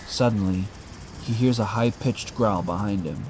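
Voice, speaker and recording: A man's voice: on the low side, moderate pace, noisy background. A man narrating a scripted adventure audio drama as its storyteller. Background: loud music.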